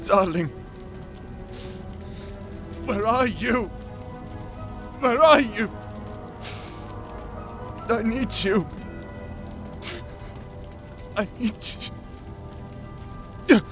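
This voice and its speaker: Slow, tormented, high-pitched fantasy captor. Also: male voice, animated, fairly bright tone, faint music behind, exaggerated voice. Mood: agonized, panicked. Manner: theatrical, anguished, imperious, halting delivery. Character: tormented, proud cursed male captor in dark fantasy. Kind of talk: dramatic fantasy audio roleplay.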